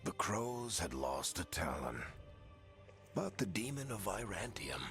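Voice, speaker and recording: Ominous male narrator for a fantasy game. Bottomless pitch, deliberate pace, dramatic rises and falls. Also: sing-song, fairly bright tone, faint music behind, heavily acted. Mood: ominous, grave. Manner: deliberate, portentous. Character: ominous, solemn male storyteller.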